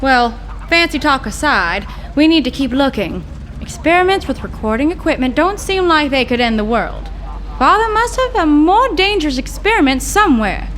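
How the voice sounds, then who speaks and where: A woman's voice: sits high, lively intonation, normal speed, a bit bright, slight background noise. An actress playing a blunt, sarcastic young woman investigating her scientist father's lab, in old-time radio drama style. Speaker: scientist's daughter. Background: music.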